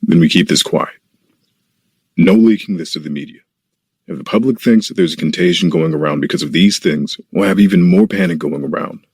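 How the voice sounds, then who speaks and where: A man playing a grave, authoritative in an audio drama, speaking with measured weight. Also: sits low, a little mellow. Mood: alarmed, grave. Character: grave, secretive male President of the United States. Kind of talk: audio drama acting, briefing and formal speech. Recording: echoey, distant, faint noise.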